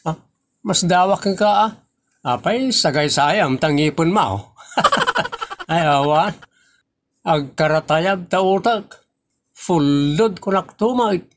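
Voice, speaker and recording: A man's voice: high-pitched, sing-song, slow, long pauses, fairly bright tone, a little hiss or hum, exaggerated voice. A man playing a forgetful, fussy middle-aged husband in an amateur comedy skit who scolds in an exasperated way. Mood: teasing.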